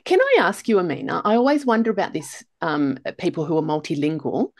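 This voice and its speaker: A woman's voice: lowish voice, very animated, a little fast, dry recording, studio quality. A middle-aged Australian woman hosting a podcast over a video call, chatty and encouraging as she asks questions. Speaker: podcast host. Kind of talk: casual interview chat. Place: home room, video call.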